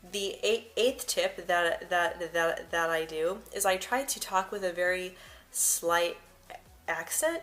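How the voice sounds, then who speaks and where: A woman's voice: fairly low pitch, a bit bright. A young woman vlogger who stutters, often repeating words and first sounds as she talks to camera and shares personal tips.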